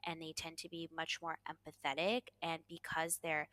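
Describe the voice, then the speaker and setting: A woman's voice: low voice, little pitch movement, normal speed, bright, forceful tone, no room sound. A young adult woman, a coach, answering interview questions at length in a confident, self-assured way. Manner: confident, self-assured, talks in long flowing runs with "you know". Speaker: coach, podcast guest.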